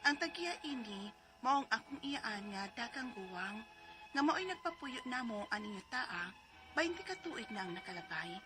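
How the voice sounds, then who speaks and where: A woman's voice: a little high, very animated, normal speed, music in the background. A woman in her forties with a Cebuano accent, earnestly narrating her own story as a letter sender. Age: 40s.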